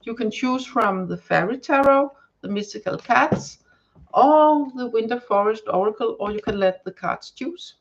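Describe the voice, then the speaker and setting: A woman with a Danish accent, whose first language is Danish, giving a live tarot reading to viewers and often pausing to search for words. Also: on the high side, expressive, dull audio. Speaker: tarot card reader. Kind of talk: live-stream card reading, chatting to viewers.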